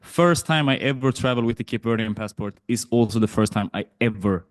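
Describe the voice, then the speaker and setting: A man in his thirties raised in Sweden, hosting a podcast interview and telling stories with animated disbelief. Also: mid-range voice, fairly quick. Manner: animated, incredulous exclamations. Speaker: podcast host. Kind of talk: casual interview chat. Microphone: studio microphone on boom.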